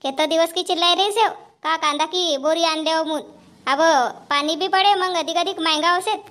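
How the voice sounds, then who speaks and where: Woman acting a very high-pitched, nagging village housewife. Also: normal speed, a bit bright. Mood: exasperated, nagging. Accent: Powari. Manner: chattering, scolding. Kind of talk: cartoon comedy dialogue.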